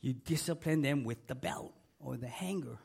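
A man's voice: medium pitch, normal speed, creaky, a little mellow, husky. A middle-aged male pastor preaching a sermon in a church. He is losing his voice from a cold and talks emphatically, repeating key words and asking the congregation for an amen. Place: church sanctuary.

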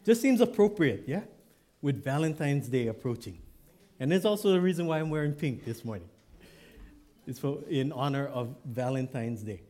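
A man's voice: mid-range voice, lively intonation, normal speed, dark tone. A male pastor from Hawaii with a Hawaiian Pidgin accent, preaching in a joking, conversational way with tag questions. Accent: Hawaiian Pidgin English. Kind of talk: sermon.

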